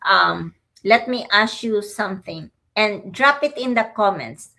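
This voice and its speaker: Filipino lady in her 50s cohosting a livestream, slow easy pace, bright clear voice, chatty and friendly. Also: medium pitch, expressive. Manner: friendly, speaks straight to viewers, laughs easily. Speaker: livestream co-host. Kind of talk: casual livestream chat.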